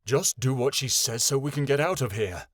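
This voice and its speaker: A man's voice: mid-range voice, animated, moderate pace, no room sound, studio quality, close to an everyday voice. Casting: man as surly, suspicious hoofed ex-soldier creature for fantasy audiobook, growly, snappish, reluctant. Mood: irritated, snarling. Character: grouchy, distrustful male hoofed creature, weary former soldier.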